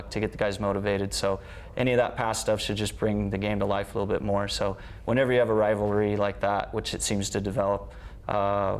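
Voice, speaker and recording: A man's voice: fairly low pitch, clear, resonant tone. A man speaking as a coach in a conversational interview. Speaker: soccer coach.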